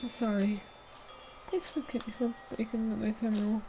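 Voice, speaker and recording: A man reading a visual novel aloud with chatty asides; his voice is dry and scratchy today, and he gets excitable and trips over his words. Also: high-pitched, normal speed. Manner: excitable, talks over himself with restarts. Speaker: let's play reader voicing a visual novel.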